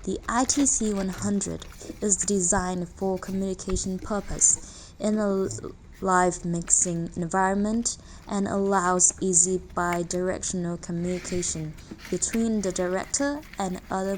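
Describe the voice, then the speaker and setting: A woman's voice: on the low side, steady tone, measured pace, a little hiss or hum, poor audio. A woman reading a scripted product overview in a formal manner, listing specifications. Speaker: narrator.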